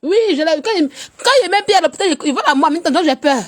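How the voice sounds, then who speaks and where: Sick woman chatting live. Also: quick pace. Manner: animated, jumps between loud teasing and low murmurs. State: stuffy, has a cold. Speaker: live streamer. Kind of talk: casual live-stream chat.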